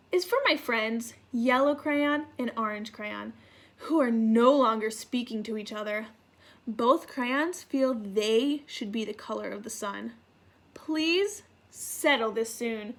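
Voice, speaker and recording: A woman's voice: high voice, a bit bright, heavily acted. A woman voicing a happy, peacemaking male green crayon from a children's storybook, courteous and earnest. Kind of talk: children's storybook read-aloud.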